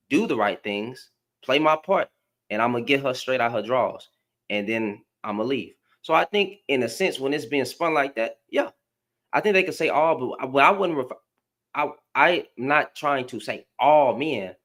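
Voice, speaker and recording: A man's voice: fairly high pitch, fairly bright tone. Man, Houston accent, panel host, casual, rambling, slangy. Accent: Southern US (Houston). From Houston, Texas. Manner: rambling, repeats words, slangy. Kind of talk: casual live panel discussion.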